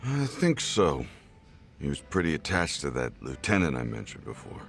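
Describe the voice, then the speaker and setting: A very deep, gravelly old gangster boss from a video game, worn down by drink and regret. He rambles ruefully, and soft music plays behind him. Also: wildly swooping pitch, normal speed, full character voice. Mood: nostalgic, musing. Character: world-weary, boozy old former yakuza boss. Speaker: voice actor in an English dub. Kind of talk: video game cutscene dialogue.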